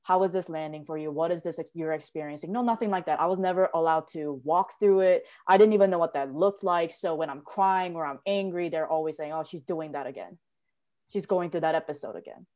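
A woman's voice: low voice, brisk, a little mellow. A woman in her late twenties from South Korea sharing her personal story in an earnest, reflective, emphatic way during a conversation. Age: late 20s.

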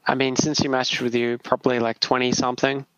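A man co-hosting a casual podcast, making wry, deadpan quips about his partner's dating app. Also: mid-range voice, brisk, clean tone, no room sound, dull audio. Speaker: podcast co-host. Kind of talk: casual banter.

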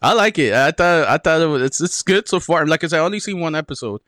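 A man co-hosting a podcast in casual chat, his voice a bit raspy and stuffy from a sore throat. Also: on the high side, fast, dry recording, studio quality. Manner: relaxed, laid-back, many fillers. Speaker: podcast co-host.

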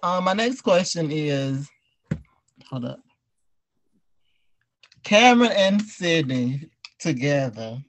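Slow-talking man hosting a casual chat. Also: on the high side, expressive, slight background noise, low-quality recording. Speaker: podcast host and audio drama creator. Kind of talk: casual group interview.

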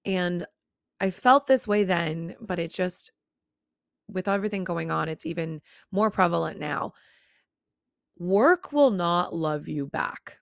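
A young woman in her late twenties talks into a close mic in a low, gravelly register, dry and intimate with no room sound. Chatty and candid, she slips little laughs between her words. Her voice still sounds a touch worn from recovering. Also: slow, no room sound. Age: 20s. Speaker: podcast host. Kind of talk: casual solo podcast chat. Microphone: own studio microphone, close.

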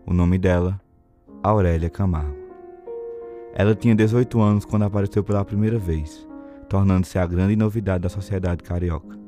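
A man's voice: deep voice, fairly even intonation, moderate pace, dark tone, faint music behind. A man with a Brazilian accent narrating a period novel as scripted audio drama.

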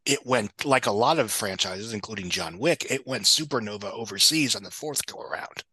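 A man with a husky voice giving opinionated, casual film commentary as a podcast guest. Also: lowish voice, normal speed, bright, forceful tone, no echo. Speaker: guest box office commentator.

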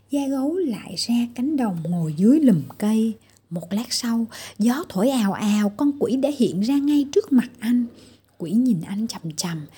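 Dark, husky woman telling a fairy tale. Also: on the high side. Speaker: storyteller for children. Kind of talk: scripted fairy-tale narration.

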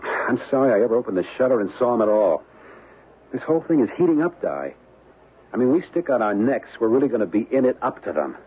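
Husky, uneasy young husband, old radio drama. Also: male voice, mid-range voice, sing-song, fast. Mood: worried, reluctant. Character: uneasy young American husband caught up in intrigue. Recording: muffled, band-limited old radio broadcast.

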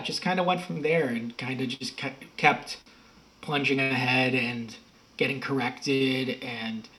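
A man's voice: medium pitch, sharp tone, low-quality recording. An American man, middle-aged, telling stories in a rambling, self-correcting way as an interview guest. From United States. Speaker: podcast guest. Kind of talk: casual interview talk.

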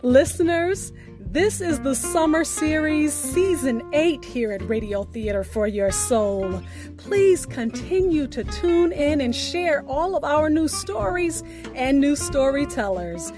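A woman podcast host giving a scripted, poetic address in a deliberate, measured delivery. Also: high voice, expressive, music in the background. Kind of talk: scripted podcast sign-off and poetry recitation.

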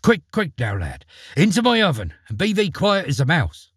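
A man voicing a bossy country giantess, the ogre's wife, scolding and tut-tutting in a raised, lively voice. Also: on the high side, normal speed, husky, no room sound, clean studio sound, pitched above the performer's own voice, full character voice. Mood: urgent.

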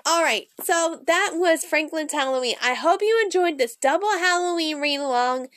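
A woman's voice: high-pitched, animated, moderate pace, a bit bright. A woman hosting a read-along, reading a children's storybook aloud.

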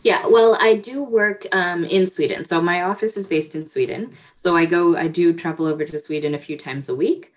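A woman's voice: lowish voice, normal speed, few pauses. A woman from the United States, a guest in a casual interview, talking reflectively with many fillers and restarts. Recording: dull lo-fi audio.

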